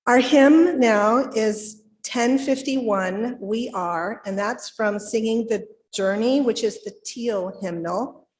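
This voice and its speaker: A woman's voice: a little high, slow pace, hardly any pauses, poor audio. A woman speaking as worship leader at a live church service, addressing the congregation. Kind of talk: sharing and leading at a church service. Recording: faint background noise, live room.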